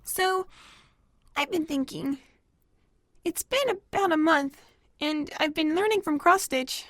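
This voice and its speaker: A woman's voice: very high voice, lively intonation, slow pace, fairly bright tone, dry recording, clean studio sound, exaggerated voice. A woman voicing a bookish pony who dreams of writing novels, in an audio drama. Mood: hesitant, confiding. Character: bookish filly pony, later a brash aspiring novelist. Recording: music behind the voice.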